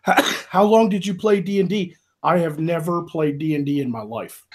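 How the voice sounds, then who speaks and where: A man talks bluntly on a livestream, tossing in curses. His voice sits a little high for a man. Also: normal speed. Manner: blunt, swears. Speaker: guest. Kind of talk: casual livestream chat.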